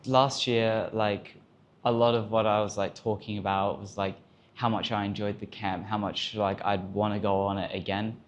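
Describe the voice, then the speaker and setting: A man's voice: fairly low pitch, little pitch movement, moderate pace, muffled recording, a little hiss or hum. A young Australian man, a camp counsellor, chatting reflectively in an interview with many fillers. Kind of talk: casual interview answers.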